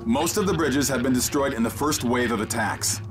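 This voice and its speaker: An actor playing a composed, commanding mission guide in a sci-fi video game, speaking in a clipped, technical, instructive way. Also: male voice, mid-range voice, fairly quick, music in the background, natural voice. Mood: alert, directing. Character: composed, commanding man guiding a soldier through alien invasion. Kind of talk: scripted video game voice acting.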